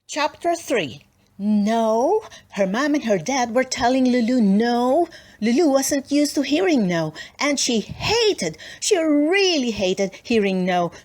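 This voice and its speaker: Animated woman reading a children's story. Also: on the high side. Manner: dramatic, deliberate emphasis with playful asides. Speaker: storybook reader. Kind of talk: expressive children's read-aloud narration.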